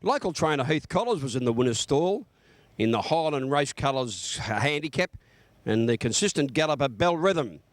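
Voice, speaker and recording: A man's voice: medium pitch, expressive, moderate pace, no echo. A man with an Australian accent reading a scripted horse racing report.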